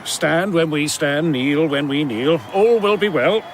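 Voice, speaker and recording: An actor playing a suspicious, officious high official in a radio drama, formal, fussy and condescending. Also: male voice, animated, a little slow, slight background noise. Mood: politely suspicious.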